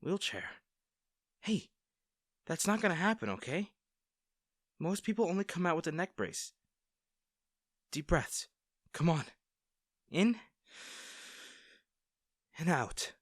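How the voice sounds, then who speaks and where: A man playing a kind, worried young male rescuer in a roleplay audio drama, speaking in a gentle, hesitant, reassuring manner. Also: a little high, very animated, slow pace, pauses often, no echo, studio quality, full character voice. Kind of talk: acted first-person roleplay audio drama.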